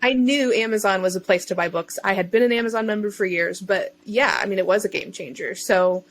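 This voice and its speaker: A woman's voice: medium pitch, a little fast. A woman speaking as a podcast guest in a chatty, informal way, telling her own story in casual conversation. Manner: chatty, informal, self-interjecting. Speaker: self-employed digital marketer and online bookseller.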